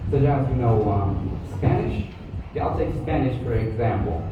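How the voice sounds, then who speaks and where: A teenage boy answers candidly, padding his talk with fillers. His voice sits low in pitch for his age. It comes through thin and tinny, with heavy hall echo and a steady hiss. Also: moderate pace, distant mic. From Vietnam. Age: teens. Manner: candid, with fillers like "you know". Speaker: contestant. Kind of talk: unscripted contest answers. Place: large echoey school hall. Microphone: far from the microphone.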